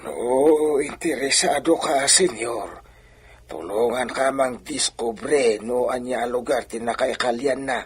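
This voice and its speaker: An actor playing a deferential, scheming old man in a radio drama, with a raspy, coaxing delivery. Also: medium pitch, slow pace, close to an everyday voice. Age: older.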